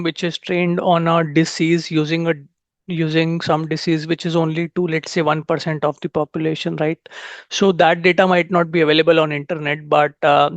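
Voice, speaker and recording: Man, fairly high voice, gravelly, conference speaker, explaining step by step, "right?" after each point, dull muffled audio. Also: no room sound. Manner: ends nearly every point with a checking "right?"; frequent "uh" fillers and self-corrections. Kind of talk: technical talk on testing AI systems.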